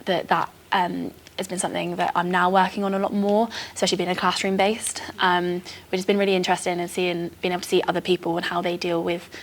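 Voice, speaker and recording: A woman's voice: lowish voice, level tone, fast talker, hardly any pauses. A young British woman, a teacher, speaking casually and reflectively in conversation. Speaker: early-career PE and geography teacher.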